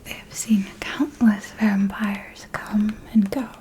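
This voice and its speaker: A woman playing an elegant, seductive vampire mentor in an ASMR roleplay, speaking formally and deliberately in a soft, breathy whisper. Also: medium pitch, fairly even intonation, slight background noise, natural voice, poor audio. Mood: hushed, grave. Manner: slow, deliberate, formal, with lingering pauses.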